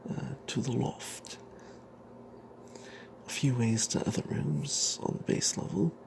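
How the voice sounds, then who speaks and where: Breathy, gravelly man whispering a fantasy tale. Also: medium pitch, darkish tone, a little hiss or hum. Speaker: storyteller narrating a tabletop game tale. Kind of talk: off-the-cuff ASMR whispered storytelling.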